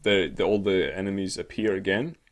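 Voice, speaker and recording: A man's voice: deep voice, steady tone, fairly quick. A man co-hosting a comedic video game podcast, chatting casually in a rambling, sweary way. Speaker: podcast co-host. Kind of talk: casual comedic chat about video games.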